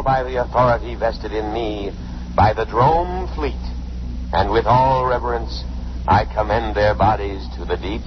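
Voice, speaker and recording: A man playing a stubborn, patriotic alien lifeboat computer in a radio drama, with formal, measured, ceremonious delivery. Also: fairly low pitch. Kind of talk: 1950s radio drama acting. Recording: muffled, noisy old radio recording.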